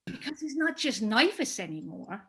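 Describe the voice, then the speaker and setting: A woman's voice: fairly high pitch, sing-song, moderate pace, slightly rough. A woman playing a sly, hungry monster that feeds on fear, conniving and theatrical, in a dramatized play reading. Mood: scheming, hungry.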